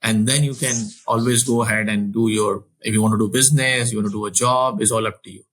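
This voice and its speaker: A man's voice: on the low side, steady tone, fast talker, a bit bright, low-quality recording. A man in his forties, raised in Saudi Arabia, telling his career story as an interview guest in an earnest way, often restarting and repeating words. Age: 40s. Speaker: finance executive guest.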